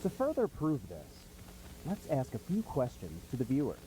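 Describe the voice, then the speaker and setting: A man playing a polished company founder giving a scripted, salesmanlike promotional pitch full of rhetorical questions, with some noise on the recording. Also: huge pitch swings, moderate pace, mellow, poor audio.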